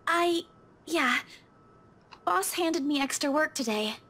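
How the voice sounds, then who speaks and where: A woman playing a shaken, withdrawn young woman in a visual novel game, speaking hesitantly in a breathy, subdued way. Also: high voice, lively intonation, takes it slowly, bright, forceful tone, heavily acted. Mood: shaken, grieving.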